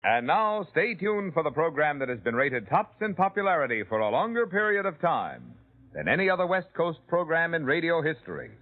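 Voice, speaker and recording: A man with a higher voice announces the sponsor in a showy 1950s commercial style, his pitch sweeping dramatically. The old broadcast sounds thin and tinny. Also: big pitch swings, brisk. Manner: showy, sweeping salesman delivery.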